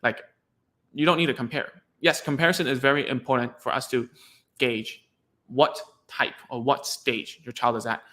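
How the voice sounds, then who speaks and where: A man's voice: medium pitch, steady tone, moderate pace, bright, forceful tone. A man whose first language is Cantonese, a speech therapist giving frank, rambling casual advice to parents, full of "like" fillers.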